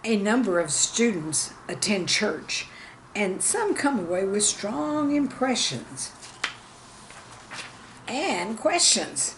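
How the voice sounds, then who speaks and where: A woman reading scripted stories and verse as a channel host, with a measured, deliberate delivery. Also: medium pitch, very animated, slight background noise, low-quality recording. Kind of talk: scripted storytelling and poem reading.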